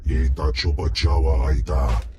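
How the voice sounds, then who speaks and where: A man voicing a huge, pompous alien slug crime lord in a video game, booming in an invented alien tongue. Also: a little slow, noisy background. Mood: angry. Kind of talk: video game creature acting.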